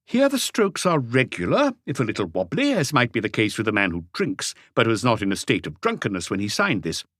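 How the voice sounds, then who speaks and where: A man voicing an eager, precise, excitable male handwriting expert explaining things in an audiobook. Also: medium pitch, brisk, no echo, studio quality.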